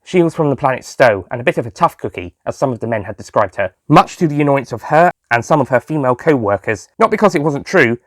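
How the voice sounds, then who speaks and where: A man's voice: medium pitch, no echo, studio quality. A man reading scripted story narration as the narrator of an audio tale. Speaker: author and narrator.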